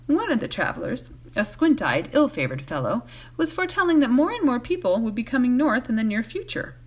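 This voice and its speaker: A woman reading a storybook aloud as a volunteer, expressive, occasionally stumbling and correcting herself. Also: on the high side, brisk. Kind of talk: read-aloud storybook narration.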